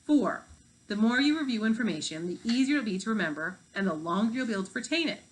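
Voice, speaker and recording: A woman reading as a fifth-grade teacher in a storybook, measured and instructive. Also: fairly high pitch, expressive, slight background noise, lightly acted, rough recording.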